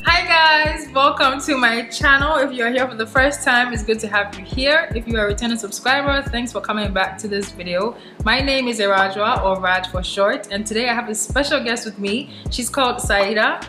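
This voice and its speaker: A woman's voice: on the high side, moderate pace, few pauses, echoey room, music in the background. A woman from Ghana hosting her own vlog, chatting casually with a guest and laughing often. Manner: chatty and giggly. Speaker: vlogger host.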